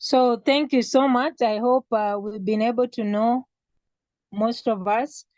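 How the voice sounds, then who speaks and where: A woman from Kenya hosting an online webinar, speaking in a measured way with pauses and fillers. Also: on the high side, steady tone, darkish tone, no echo. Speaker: webinar host. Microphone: video call. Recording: dull, lo-fi video call audio.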